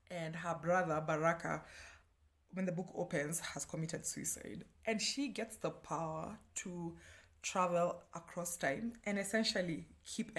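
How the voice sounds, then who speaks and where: Female book reviewer, 30s, Kenyan accent. Slightly low register, chatty, candid delivery with playful self-mockery. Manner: animated, candid, self-deprecating, with playful asides.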